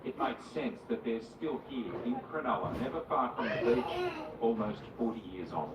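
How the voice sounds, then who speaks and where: Animated male narrator, noisy sound. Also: mid-range voice, normal speed, distant mic. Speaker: reporter narrating. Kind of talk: scripted documentary narration. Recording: roomy, muffled, noisy playback.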